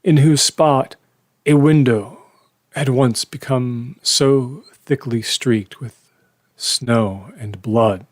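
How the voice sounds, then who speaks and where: An actor playing a reflective lawyer, narrating a horror audio drama in the first person with a measured, wistful, understated delivery. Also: male voice, medium pitch, no echo. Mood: wistful, somber. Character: reflective male lawyer recalling an old murder trial.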